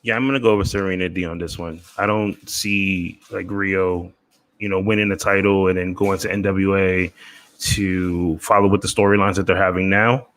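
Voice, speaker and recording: A podcast guest, a man, speaks in a very low voice. His delivery stays flat and steady with little rise or fall, and he keeps dropping in casual fillers. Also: normal speed. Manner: steady, uses "you know" often. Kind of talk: casual chat.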